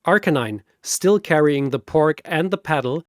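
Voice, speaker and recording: Guy narrating a dramatic fantasy fight story, takes his time, a bit high pitched, very dry sound. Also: expressive, normal speed, no room sound.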